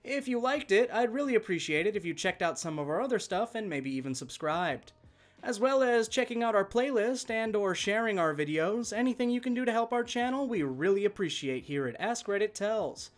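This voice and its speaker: A man working as a channel host, reading online stories aloud in an expressive, conversational way and speaking directly to listeners. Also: sits high, a little fast. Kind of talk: reading online stories aloud, scripted narration with chatty asides.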